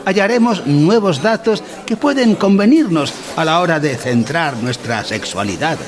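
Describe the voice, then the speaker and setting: A man's voice: fairly high pitch, expressive, moderate pace, natural voice. A man playing a pompous instructor in a mock-educational radio play, with formal, didactic delivery. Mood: solemn, didactic. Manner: formal, didactic, solemn wording. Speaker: narrator in an audio drama.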